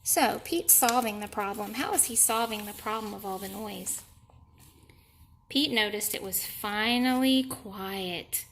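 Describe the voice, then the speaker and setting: A woman teacher reading a picture book to young children, deliberate and explanatory, pausing to ask questions. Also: medium pitch, fairly bright tone, slight background noise. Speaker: elementary school teacher. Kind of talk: read-aloud lesson for young children.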